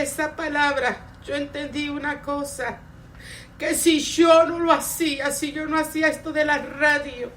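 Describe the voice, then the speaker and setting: A woman's voice: high-pitched, a bit bright. A middle-aged woman preaching and giving personal testimony in Spanish, her native language, in an earnest, emphatic way that leans on repetition. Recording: distant mic with faint background noise.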